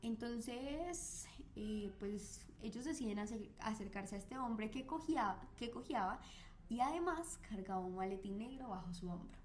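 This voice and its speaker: A woman's voice: fairly high pitch, lively intonation, a little slow, a little mellow, slight background noise. A woman, recounting a crime case on a chat podcast with frequent fillers. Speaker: co-host.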